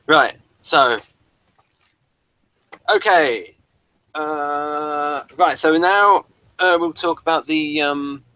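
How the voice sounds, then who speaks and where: A man's voice: fairly high pitch, pushed and bright, no echo, rough recording. A man hosting a review show in casual, unscripted chat, rambling and stop-start as he thinks aloud.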